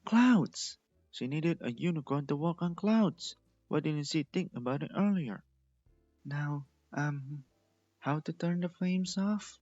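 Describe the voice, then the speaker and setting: A man's voice: animated, slow pace, darkish tone, dry recording. A man voicing an impulsive, lovestruck young female cartoon pony in an emotive, dramatic way for a story reading. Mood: panicked. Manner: high-pitched, emotive, dramatic. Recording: dull lo-fi close recording.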